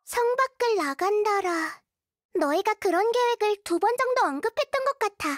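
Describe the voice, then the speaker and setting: A woman voicing a small, wise, gentle girl deity in a video game, speaking in a calm, thoughtful, explanatory way. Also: very high voice, moderate pace, no echo, studio quality.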